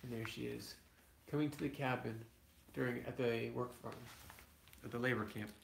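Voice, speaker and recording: A man reads a picture book aloud in an echoey room, in a mid-pitched voice. He stumbles slightly now and then and drops into casual explanations, like a father reading to his children at home. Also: fairly even intonation, gravelly, a little hiss or hum. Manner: halting, with small stumbles and asides to children. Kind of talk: read-aloud storytelling with casual asides.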